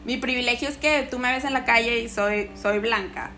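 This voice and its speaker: A woman's voice: fairly high pitch, normal speed, slight background noise. A young Mexican woman with a Mexican accent, chatting casually as an interview guest, full of slang and acting out what others said. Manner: slangy, animated, quotes others in voices.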